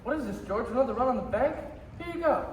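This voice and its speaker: Young man playing a high-voiced, brisk townsman. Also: expressive. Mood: brisk, cheerful. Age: young adult. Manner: announcing, directive. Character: brisk, cheerful townsman taking charge of a crowd. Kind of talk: radio play acting in a high school production. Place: school theatre stage. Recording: very echoey theatre, faint noise.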